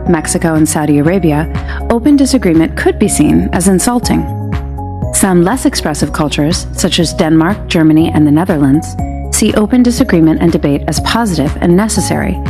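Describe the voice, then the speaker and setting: A woman reading a scripted explainer narration in an even, explanatory tone, played from a video clip. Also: fairly low pitch. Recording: clip played in a hall with music behind. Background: music.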